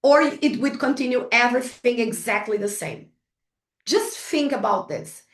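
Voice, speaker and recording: High-voiced Portuguese woman in an echoey room. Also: lively intonation, moderate pace. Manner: chatty, frequent restarts and fillers, laughs at herself. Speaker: guest speaker. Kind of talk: motivational workshop talk. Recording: echoey room, distant from the mic.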